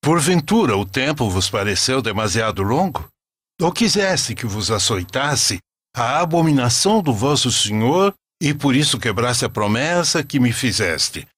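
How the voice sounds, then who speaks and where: A man's voice: mid-range voice, very animated, a little slow, fairly bright tone, husky, no echo, heavily acted. A man with a Brazilian accent voicing a grave male prophet in a religious cartoon, solemn and declamatory. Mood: reproachful.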